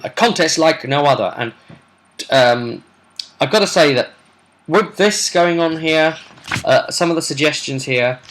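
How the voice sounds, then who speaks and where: A man's voice: mid-range voice, fairly bright tone. A man hosting a tabletop role-play vidcast as game master, casually recapping a session with rambling, chatty asides.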